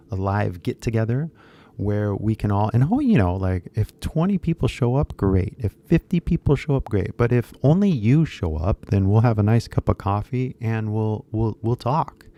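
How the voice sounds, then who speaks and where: A man's voice: fairly low pitch, animated, a little slow, warm tone, highs cut off. A man in his thirties chatting casually on a live stream, rambling with lots of fillers and switching into Japanese at times. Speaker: English teacher YouTuber.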